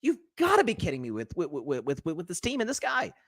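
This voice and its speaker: A young man hosting a solo sports talk show, talking quickly and animatedly, often repeating words as he builds a point and reading out viewer comments. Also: high voice, wildly swooping pitch, no room sound, clean studio sound.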